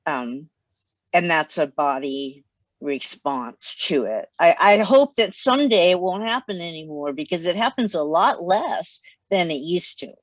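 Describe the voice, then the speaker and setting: Older lady on a video call from her study, gentle and plain, muffled dry audio. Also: fairly low pitch, lively intonation, unhurried, no room sound. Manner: gentle, plain and open about hard memories. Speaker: guest author. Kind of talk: interview over video call. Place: home study with bookshelves. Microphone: video call, headset.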